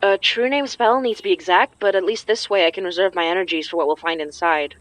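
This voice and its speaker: A woman acting as an anxious but determined young folk magic practitioner in an audio drama, sometimes halting. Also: lowish voice, no echo, close to an everyday voice, low-quality recording. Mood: focused, serious.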